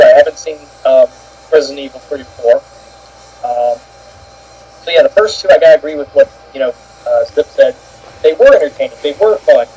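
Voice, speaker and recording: A man's voice: medium pitch, mellow, husky. A man giving his view as a panelist in casual talk, hesitantly searching for his words. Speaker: panelist on an opinion show. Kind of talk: casual opinion chat. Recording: dull, muffled lo-fi sound.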